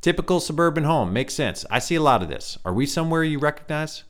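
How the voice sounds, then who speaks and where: A man voicing a wiry male stranger with a light, reedy voice who talks in a calm, cryptic, faintly menacing way. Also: on the high side, fast talker, voice pushed up. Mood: probing.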